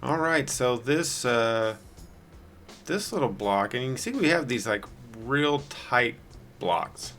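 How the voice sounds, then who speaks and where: A man with a raspy, hoarse voice giving rambling, self-deprecating casual commentary as a gameplay video host. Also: medium pitch, lively intonation, slow, fairly bright tone, soft background music. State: hoarse from singing the night before.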